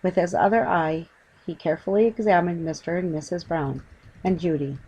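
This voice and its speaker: Woman narrating, lower-pitched voice. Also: moderate pace, darkish tone, breathy edge, low-quality recording. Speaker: audiobook narrator. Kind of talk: scripted children's story narration.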